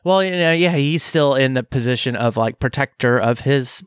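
Halting man in a dry close mic. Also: mid-range voice, clear, resonant tone, dry recording. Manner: halting, many fillers and restarts. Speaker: podcast co-host. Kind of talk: casual podcast chat.

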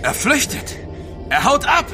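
An actor playing an agitated, excitable expedition member in an audio drama, exclamatory in delivery. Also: male voice, very high-pitched, measured pace, loud music behind the voice, exaggerated voice. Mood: alarmed. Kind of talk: German radio play acting.

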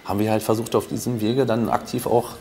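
A man with a German accent, a police investigator explaining his work in formal, halting phrases in an interview. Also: on the low side, flat delivery, mellow. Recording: interview with clear background ambience.

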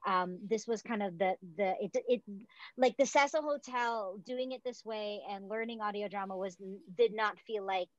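A woman's voice: medium pitch, moderate pace, dry recording. Chatty woman director on a video call. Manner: chatty, lots of fillers like "like" and "um". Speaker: theatre director. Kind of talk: casual interview on a video call. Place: home room, indoors. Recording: dull video call audio.

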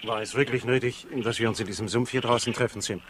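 A man's voice: mid-range voice, steady tone, fast, close to an everyday voice. An actor playing a wry, aggrieved British intelligence man who recounts affairs in a 1960s radio crime play. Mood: grumbling, wry. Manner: wry, opinionated, storytelling. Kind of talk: 1960s German-language radio crime drama acting. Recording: faintly noisy old radio recording.

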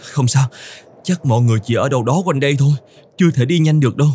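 A man voicing an excitable, self-pitying young male camel in an animated fairy tale, exclaiming and talking to himself. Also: medium pitch, quick pace, slightly rough, close to an everyday voice. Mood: anxious, self-reassuring. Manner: exclamatory, whining, talks to himself.

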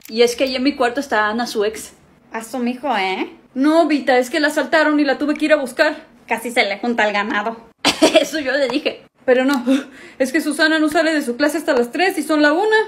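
A young woman acting out a comedy sketch, switching quickly between characters in a small room at home. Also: sits high. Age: young adult.

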